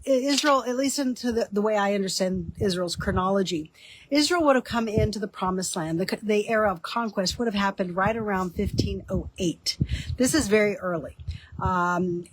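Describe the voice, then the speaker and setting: A woman with a slightly nasal, stuffy voice from a cold, explaining biblical history in a relaxed teaching talk with frequent ums. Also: mid-range voice, no room sound. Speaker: author and teaching host.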